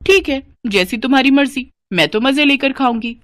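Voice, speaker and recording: A woman's voice: high voice, normal speed, a bit bright, no room sound, voice pushed up. A woman voicing a carefree, defiant, food-obsessed young daughter-in-law in a cartoon moral story, with a whiny, self-indulgent manner. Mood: gleeful.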